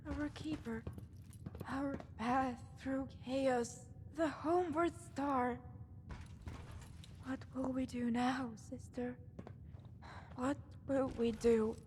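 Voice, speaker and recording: A high-pitched, fragile machine girl from a wasteland game. She is obedient and frightened, speaking slowly and haltingly in a long reverb. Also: fairly even intonation, a little mellow, a little hiss or hum. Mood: devout, anxious. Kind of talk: scripted game dialogue.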